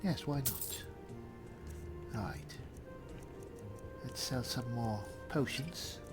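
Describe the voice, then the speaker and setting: A man voicing a cool, dry high elf spellsword, musing formally as he roleplays through a fantasy game. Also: over loud music. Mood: pensive, muttering. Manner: measured, formal, thinking aloud.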